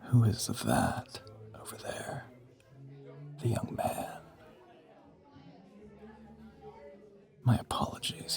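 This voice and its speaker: An ancient male vampire, acted out by a man, murmurs in a breathy whisper with a creaky edge. He is slow and deliberate, suave and possessive, teasing with formal condescension and quiet menace, as in an intimate romantic audio roleplay. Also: fairly low pitch, exaggerated voice. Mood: disdainful, menacing.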